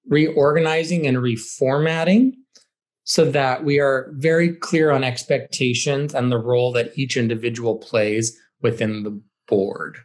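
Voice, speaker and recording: A man, a theater director, giving conversational explanatory answers as an interview guest. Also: mid-range voice. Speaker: high school theater director, podcast guest.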